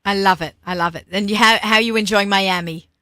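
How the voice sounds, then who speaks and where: A woman hosting a radio interview, upbeat and chatty with quick reactions. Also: medium pitch, fairly even intonation, pushed and bright, no room sound, clean studio sound. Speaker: radio show host.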